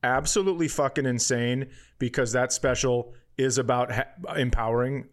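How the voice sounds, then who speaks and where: A man's voice: medium pitch, steady tone, normal speed. A man talking as a comedian on a podcast, casual and opinionated, ranting with heavy swearing.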